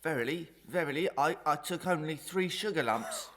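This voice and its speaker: An actor playing a simple, cheeky young servant who is deferential and earnest, speaking archaic lines in a melodrama. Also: male voice, a little high, normal speed, fairly bright tone, close to an everyday voice. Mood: naive, cheeky. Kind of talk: Victorian melodrama audio acting.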